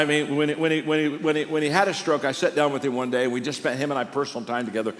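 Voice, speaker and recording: An older man preaching a sermon, telling stories in a warm, conversational way and often restarting his sentences. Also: medium pitch. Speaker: pastor. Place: large church auditorium. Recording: long reverb of a large hall.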